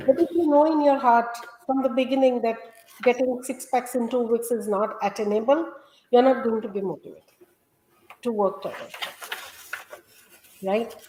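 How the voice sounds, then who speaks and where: This woman talks in an earnest, explanatory way, her voice pitched a little high. The recording has a roomy, echoey sound. She speaks as a guest, steadily walking the listener through her points. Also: warm tone. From India. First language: Gujarati. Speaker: guest, health coach. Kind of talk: conversational interview.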